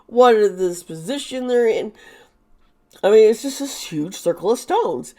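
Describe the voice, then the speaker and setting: A hoarse woman who is losing her voice, talking through a tarot topic in a rambling, chatty way with sarcastic asides. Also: fairly high pitch, expressive, measured pace, mellow. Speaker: tarot reader. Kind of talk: casual monologue.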